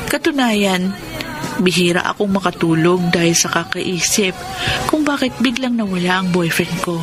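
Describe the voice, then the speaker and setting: An actress with a Filipino accent playing a lovesick, dutiful young daughter in a radio drama, earnest and heartfelt. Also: female voice, mid-range voice, natural voice.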